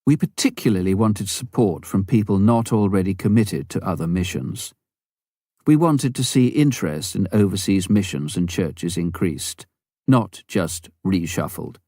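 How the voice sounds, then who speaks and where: A man's voice: low voice, unhurried, mellow, no echo, clean studio sound. A man reading a memoir aloud as scripted audiobook narration. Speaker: audiobook reader.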